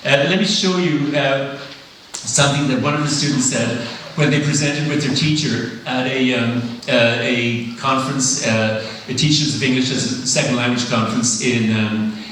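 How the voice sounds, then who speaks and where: A man lectures to a conference audience, working through his points with frequent pauses and fillers. His voice sits at an ordinary pitch. It rings around a big echoey hall and is picked up from a distance, with a faint hiss underneath. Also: fairly bright tone. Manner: thoughtful, many uhs and ums. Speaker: plenary speaker. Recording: echoey hall, mic heard from afar.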